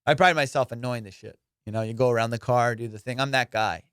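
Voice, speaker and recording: Man, comedian, podcast host, casual profane monologue, boastful, mid-pitched voice, dry close sound. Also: quick pace, smooth, dry recording. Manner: profane, boastful storytelling with excited bursts.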